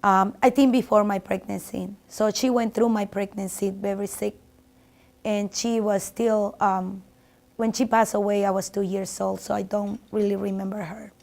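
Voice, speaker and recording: A woman's voice: mid-range voice, little pitch movement, moderate pace, creaky, a little mellow. Reflective Salvadoran woman in her fifties. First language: Spanish. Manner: heartfelt, reflective, often restarting phrases. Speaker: barber shop owner. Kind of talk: documentary interview.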